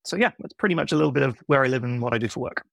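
Creaky-voiced man with British-American accent. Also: medium pitch, racing, a bit bright, no echo, clean studio sound. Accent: blend of British and American. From United Kingdom. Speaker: podcast guest, software consultant. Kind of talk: casual explanatory interview talk.

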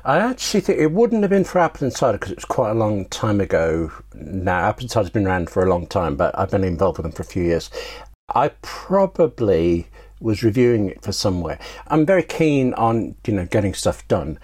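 A man's voice: lowish voice, sing-song, fairly quick, darkish tone, slightly rough. A British man talking casually as an interview guest, a talkative writer who interrupts himself as he tells anecdotes. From United Kingdom. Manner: talkative, self-interrupting storyteller.

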